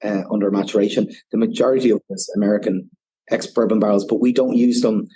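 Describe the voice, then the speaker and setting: A man's voice: fairly low pitch, fairly even intonation, fast, dark tone, slightly rough, poor audio. A man with an Irish accent, a whiskey ambassador guest on a podcast, explaining at length and often saying "you know". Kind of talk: casual podcast interview.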